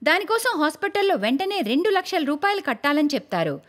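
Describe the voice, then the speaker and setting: Female cartoon storyteller, high voice, very lively intonation. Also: fast talker. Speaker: narrator. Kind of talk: scripted storytelling narration for a cartoon.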